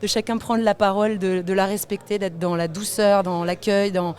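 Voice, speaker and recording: A woman's voice: medium pitch, level tone, normal speed, darkish tone. A woman talking earnestly as a panelist in a roundtable discussion. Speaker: collective member and panelist.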